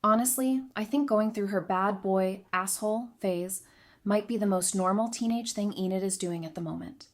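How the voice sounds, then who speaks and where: A woman's voice: medium pitch, normal speed, close to an everyday voice. An actress playing a candid, dry-witted woman adviser in an audio drama, talking in a frank, conversational way. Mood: wry, sardonic. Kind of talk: fan audio drama acting.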